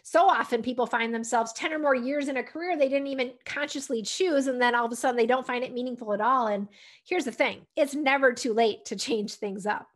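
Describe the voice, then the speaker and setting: A woman's voice: high voice, fairly quick. An American woman, around her thirties or forties, giving an encouraging, conversational instructional talk to camera as a wellness coach. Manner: encouraging, conversational, often ending points with "right?". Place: furnished room at home, indoors. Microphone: desktop condenser microphone.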